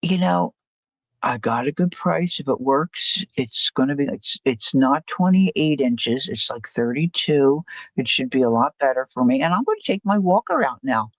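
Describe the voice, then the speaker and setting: A woman's voice: extremely low pitch, lively intonation, normal speed, no room sound. An older woman hosting a livestream, chatting casually and rambling through a story. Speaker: livestream host. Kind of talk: casual chat.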